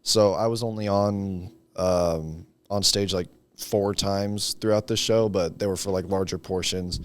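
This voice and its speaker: Low-voiced teenage young man, casual. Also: fairly even intonation, unhurried. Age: late teens. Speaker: high school senior guest. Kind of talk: casual chat.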